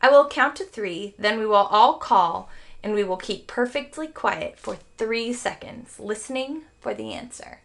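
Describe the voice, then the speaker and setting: A woman's voice: on the high side, expressive, unhurried, vocal fry. A woman voicing a stern, easily exasperated father in a storybook, with a formal, commanding manner. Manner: commanding, formal, bellowing and growling.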